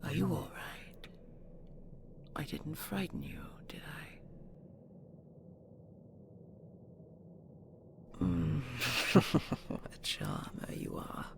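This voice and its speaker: A woman playing a possessive, seductive dragon queen in an intimate audio roleplay, slow, doting and imperious, with a breathy, gravelly whisper. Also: low voice, wildly swooping pitch, exaggerated voice. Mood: tender, pleased.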